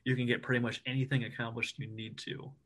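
A man from the United States, a Navy officer guesting on a podcast, talking in an animated, emphatic and self-deprecating way. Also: mid-range voice, fast talker. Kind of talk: casual podcast interview.

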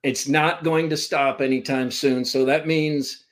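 A man's voice: a little high, fairly even intonation, unhurried. A man in his fifties from Vermont, a sports broadcaster telling stories as a podcast guest, rambling and self-deprecating, speaking over a computer call. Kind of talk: casual storytelling interview. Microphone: remote call from a computer.

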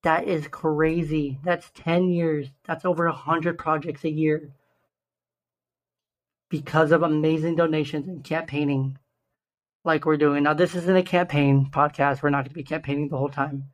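Young man, slightly raspy and hoarse, earnest podcast host, fairly high voice. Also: flat delivery. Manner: earnest, stresses numbers slowly, self-interrupting restarts. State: hoarse from shouting the day before. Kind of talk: solo podcast monologue.

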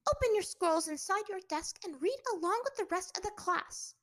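A prim, strict schoolteacher with greying hair, her voice pitched up high and loud as she scolds the class, put on by a woman reading a story. She snaps her words out sharply and sternly. Her tone rises and falls with indignation. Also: animated, moderate pace. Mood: scolding.

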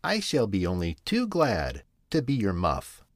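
A man's voice: on the low side, wildly swooping pitch, a little slow, dry recording, clean studio sound, exaggerated voice. A man voicing a small, frightened male caterpillar who speaks timidly.

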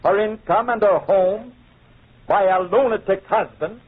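An actor playing a smug, declamatory chevalier who accuses as a courtroom barrister in an old-time radio drama. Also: male voice, high-pitched, a little slow, slightly rough. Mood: smug accusing. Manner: declamatory, insistent. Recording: tinny, narrow old radio recording.

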